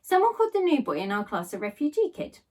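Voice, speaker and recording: Woman as an earnest, high-voiced storybook child. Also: wildly swooping pitch, quick pace, darkish tone, far from the mic. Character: earnest, curious young schoolchild who narrates the story.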